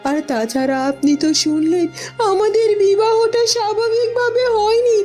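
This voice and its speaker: A woman puts on a gentle young bride from a fairy-tale cartoon. The voice is tiny and very high, courteous and careful in its phrasing. Music sits underneath. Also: normal speed. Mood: polite, concerned. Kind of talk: Bengali cartoon voice acting.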